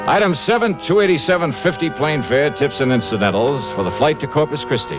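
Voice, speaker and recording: An actor playing a smitten, wisecracking insurance investigator in a 1950s radio drama, wry and apt to stammer when flustered. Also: male voice, a little high, expressive, fast talker, close to an everyday voice. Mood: smitten narrating. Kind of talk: 1950s radio detective drama, first-person narration and dialogue. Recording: muffled old radio recording, music behind.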